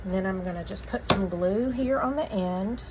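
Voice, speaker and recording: Slightly croaky woman teaching a craft. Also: medium pitch, normal speed, background noise. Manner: relaxed, chatty instructions with fillers. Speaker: craft tutorial host. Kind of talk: step-by-step craft demonstration.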